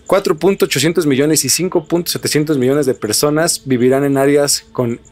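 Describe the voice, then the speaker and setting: A man's voice: fairly high pitch, fairly quick, a little mellow. A man with a Mexican accent hosting a podcast, explaining things and chatting in an informal, slangy way. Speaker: podcast host. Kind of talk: podcast talk, explaining and casual chat.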